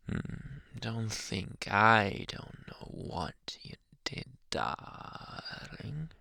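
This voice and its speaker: A man playing a jealous, possessive, unhinged young anime boyfriend in an ASMR roleplay, slipping between low speech and breathy whispers, menacing yet coaxing, close to the mic. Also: bright, forceful tone, dry recording, full character voice. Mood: accusing, menacing. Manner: menacing, teasing, drawn-out coaxing endearments. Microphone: close-up ASMR microphone, dry.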